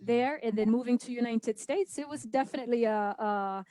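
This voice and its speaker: Young woman guest from Saudi Arabia, hesitant with fillers, warm mellow voice, dry webcam sound. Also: fairly high pitch, normal speed, no echo. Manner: hesitant, many fillers, says she is nervous. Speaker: guest dancer. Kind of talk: video call interview. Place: indoor home room. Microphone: video call webcam.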